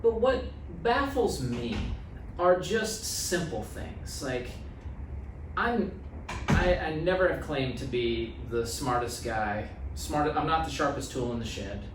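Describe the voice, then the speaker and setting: A man's voice: fairly high pitch, animated, slow pace, distant mic. An American man in his thirties, plain-spoken, repeating phrases for emphasis in a casual podcast conversation. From small town in the USA. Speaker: guest health practitioner. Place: small office meeting room. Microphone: podcast mic on boom arm. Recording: roomy, off-mic sound, faint noise.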